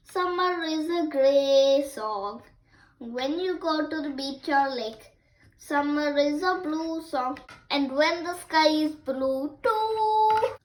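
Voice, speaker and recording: A six-year-old child reading picture books aloud in a halting, word-by-word way, as the host of a story time. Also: high-pitched, bright, forceful tone, low-quality recording. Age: child, 6 years old.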